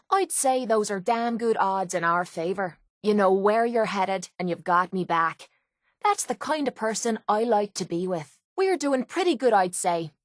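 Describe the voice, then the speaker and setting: A woman's voice: medium pitch, a bit bright, no echo, studio quality. A woman playing a brash, foul-mouthed young female cage fighter in a video game, with an Irish accent and a blunt, cocky way of talking. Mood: warm, frank. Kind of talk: video game companion voice lines.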